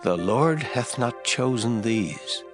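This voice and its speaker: Stern prophet Samuel, dramatically swooping delivery. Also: male voice, mid-range voice, expressive, slow pace. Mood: grave. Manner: declamatory, solemn, emphatic. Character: Samuel, stern, grave old male prophet. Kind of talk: dramatized scripture reading. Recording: prominent music bed behind voice.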